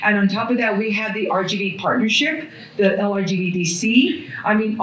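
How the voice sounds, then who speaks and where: Panelist, woman, earnest civic speaker, booming hall sound, distant from the mic. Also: slight background noise. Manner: earnest, civic, lists places and agencies. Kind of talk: panel discussion talk. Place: hotel event room at a brunch. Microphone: handheld mic passed along the panel.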